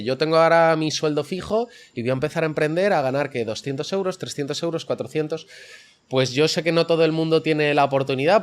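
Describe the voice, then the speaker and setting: A young adult man speaks with a central Spanish accent from the Madrid area. He is an interview guest talking conversationally. His answers flow at length and explain things as he goes, in a mid-pitched voice. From Madrid area, Spain. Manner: explanatory, long flowing answers. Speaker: interview guest, online fitness coach.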